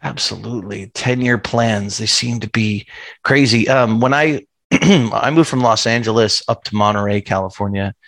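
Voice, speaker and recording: A man hosts a casual interview, asking friendly questions and sharing his own anecdotes. His voice has a gravelly fry to it. The recording is dry and close, with no room sound. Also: on the low side, moderate pace, a bit bright, no echo. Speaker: podcast host.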